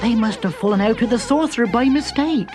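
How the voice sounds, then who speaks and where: A man playing a small, eager boy in a storybook, his voice lifted high and a touch breathy. He sounds young and keen, with a cheeky edge. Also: expressive, fairly quick, music in the background. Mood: delighted.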